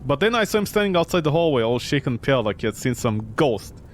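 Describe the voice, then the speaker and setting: A man's voice: medium pitch, very animated, fast, a little hiss or hum, close to an everyday voice. A man voicing a tough, suspicious male tenant in a visual novel, talking in blunt slang. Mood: wary, blunt.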